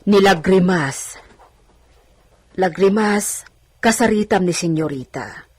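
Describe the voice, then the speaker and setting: Husky older village woman acted by a woman. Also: fairly low pitch, slow. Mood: matter-of-fact. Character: older village woman, respectful, matter-of-fact go-between. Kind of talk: radio drama acting.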